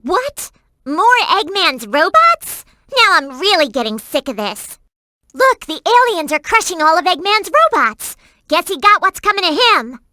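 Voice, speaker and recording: A woman's voice: very high-pitched, big pitch swings, measured pace, sharp tone, no room sound, studio quality, full character voice. A woman voicing a perky, earnest and bossy young girl companion in a video game, with emphatic delivery. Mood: urgent, alarmed. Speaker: in-game hint-giving companion.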